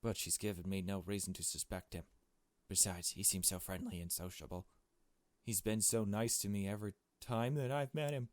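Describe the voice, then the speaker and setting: A man's voice: lowish voice, steady tone, normal speed, no echo, lightly acted. A man voicing a hesitant, fair-minded young woman in a visual novel, tentative and questioning. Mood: reluctant. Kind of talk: visual novel dialogue read aloud.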